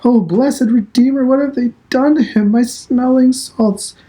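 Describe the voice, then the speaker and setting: Man voicing a shrill, alarmed pious mother. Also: a little slow, mellow. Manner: wailing exclamations.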